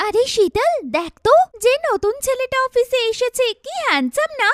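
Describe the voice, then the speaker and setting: A woman voicing a vain, sharp-tongued young woman in a cartoon, with a blunt, complaining, mocking manner. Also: very high voice, sing-song, moderate pace, no echo, clean studio sound, pitched above the performer's own voice. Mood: excited. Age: young adult. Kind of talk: cartoon dialogue.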